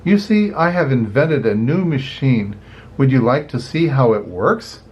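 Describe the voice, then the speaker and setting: A man's voice: mid-range voice, measured pace, slightly rough, muffled recording. An older man voicing a cheerful, eager young male ant inventor who loves showing off his machines in a children's storybook. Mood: eager, happy. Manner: bright, excitable, eager to show off.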